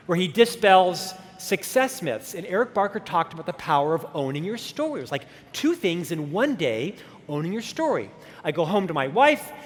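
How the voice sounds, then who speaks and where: Animated storytelling man in his fifties. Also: fairly high pitch. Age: 50s. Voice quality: stutter. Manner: animated storytelling with self-deprecating humor. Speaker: keynote speaker. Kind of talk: motivational conference talk. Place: large conference hall stage. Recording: long reverb of a conference hall.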